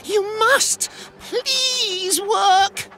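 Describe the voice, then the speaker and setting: A woman's voice: very high voice, very slow, fairly bright tone, slight background noise, full character voice. A woman voicing a flustered, earnest little talking boat in a children's cartoon, in a fretful manner. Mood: worried.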